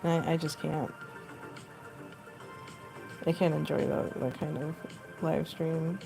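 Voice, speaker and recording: A young woman with a low, deep voice and a gravelly edge chats loosely as she works, full of fillers and quick laughs. Her tone is mellow and dark rather than bright. She is picked up by a phone mic, with faint music underneath. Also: fairly even intonation, rough recording. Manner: rambling, filler-heavy, laughs often. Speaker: costume artist streaming live. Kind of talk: casual chat while sculpting.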